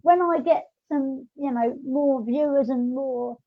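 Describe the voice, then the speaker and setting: A woman's voice: high voice, a little slow. A woman hosting a podcast in casual, chatty, rambling talk over an online call. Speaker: podcast host. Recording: dull lo-fi online call audio.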